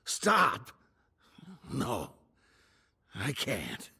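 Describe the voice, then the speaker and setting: Enio, an aged, brooding troupe leader. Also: male voice, mid-range voice, huge pitch swings, bright, forceful tone, breathy edge, no echo, full character voice. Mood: weary. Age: older. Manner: slow, brooding and solemn. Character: aged, grieving male troupe leader, guilt-driven. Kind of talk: game cutscene voice acting. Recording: music score behind the voice.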